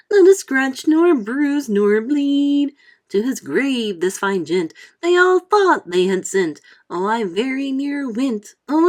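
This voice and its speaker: Storybook centipede, male, boastful and giddy, shouting high, read by a woman. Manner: shouting, singsong rhymes.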